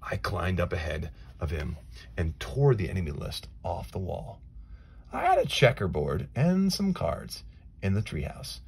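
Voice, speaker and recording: A man reading a children's storybook aloud in a dramatic, expressive way, moving between hushed asides and emphatic phrases. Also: low-pitched, unhurried, creaky, breathy edge. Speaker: storybook reader.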